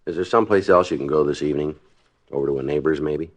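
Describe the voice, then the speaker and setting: An actor playing a terse, businesslike police detective in a 1950s radio crime drama, deadpan and matter-of-fact. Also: male voice, a bass voice, quick pace, no echo. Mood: calm, probing. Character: terse, businesslike male police detective narrating his case.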